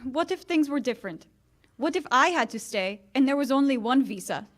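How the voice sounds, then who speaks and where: A woman's voice: sits high, lively intonation, fairly quick. An actress playing a proud, wounded married woman, restrained and earnest, in 1940s-style radio theater. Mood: earnest, firm.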